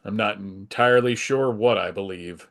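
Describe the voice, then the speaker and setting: A man's voice: on the low side, moderate pace, a bit bright, pitched below the performer's own voice. Man reading as a composed, earnest superhero. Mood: kindly. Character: heroic, polite, confident adult male superhero. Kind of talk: dramatic comic book table read.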